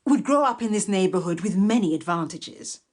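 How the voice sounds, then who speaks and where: An actress playing a bossy, self-righteous middle-aged English aunt in a period radio drama, officious and wordy. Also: female voice, medium pitch, lively intonation, fairly quick, darkish tone, close to an everyday voice. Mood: persuasive.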